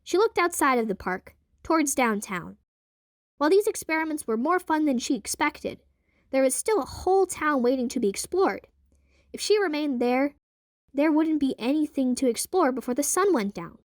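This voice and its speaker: A woman's voice: high voice, expressive, normal speed, no room sound, clean studio sound. A young adult woman reading scripted narration for an audio drama.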